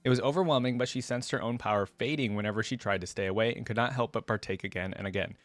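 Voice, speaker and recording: A man's voice: mid-range voice, fast, dry recording. A man hosting a reaction video in a casual, conversational way, thinking aloud and reading aloud.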